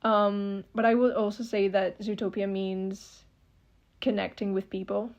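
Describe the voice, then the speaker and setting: A woman's voice: medium pitch, little pitch movement, a little slow, a little mellow, muffled recording. A woman in her twenties from France with a French accent, earnestly reading out a prepared personal statement in a recording she sent in. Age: 20s. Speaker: listener submitting a recording.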